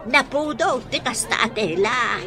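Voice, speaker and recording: A woman's voice: fairly high pitch, unhurried, over music, crowd noise. A woman playing a nosy, teasing older neighbour in an Ilocano radio drama comedy, drawling her questions.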